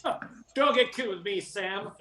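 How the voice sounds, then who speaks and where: A man playing a stern, gruff police inspector in an amateur noir comedy radio play. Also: high voice, unhurried, pushed and bright, a little hiss or hum, full character voice. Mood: stern, impatient.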